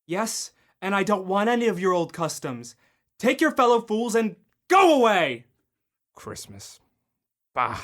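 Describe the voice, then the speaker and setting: A man's voice: sits high, big pitch swings, unhurried, fairly bright tone, heavily acted. Snarling old miser, played by a man, scornful and cold, voice swinging wide in a radio play. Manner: snarling, scornful, barking orders. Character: miserly bitter old male moneylender. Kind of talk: student radio drama acting.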